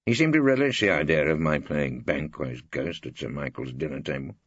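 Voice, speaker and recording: Irreverent older English male barrister, man acting, droll audiobook narration, raspy, close dry slightly dull recording. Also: a bass voice, expressive, brisk, dry recording, heavily acted. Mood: dry, innocent. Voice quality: gravelly. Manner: wry, droll. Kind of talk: first-person audiobook narration with dialogue.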